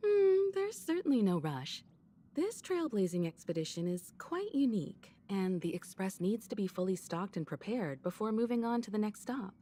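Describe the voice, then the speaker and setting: Poised grown-up game leader, warm mellow voice that moves around a lot, bit wry. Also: female voice, mid-range voice, sing-song, normal speed. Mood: composed, authoritative. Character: poised, mature woman leader, unhurried and gently wry. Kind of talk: video game voice acting.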